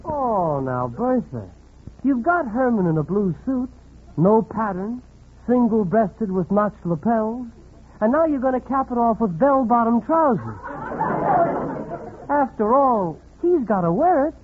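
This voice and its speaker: Wry narrator, swooping intonation, sardonic, confiding, 1940s radio comedy, tinny old recording. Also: male voice, very high voice, very animated, unhurried, some chatter behind, slight background noise, full character voice. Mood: wry, objecting. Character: wry, needling narrator who chats to the characters.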